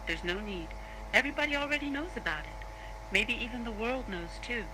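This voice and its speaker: A woman playing a calm, businesslike interviewer, putting questions to a witness in a scripted horror drama. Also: on the high side, a little fast, natural voice. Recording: noticeable hum and noise.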